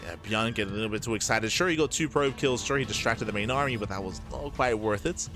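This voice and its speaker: A man's voice: fairly low pitch, fast. A man doing live esports commentary in an analytical, explanatory way, often repeating phrases for emphasis. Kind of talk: live StarCraft match commentary. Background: background music.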